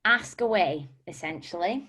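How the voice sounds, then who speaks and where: Lower-voiced woman giving relaxed career advice. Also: moderate pace, slightly rough. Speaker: guest industry speaker. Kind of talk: advice talk to students.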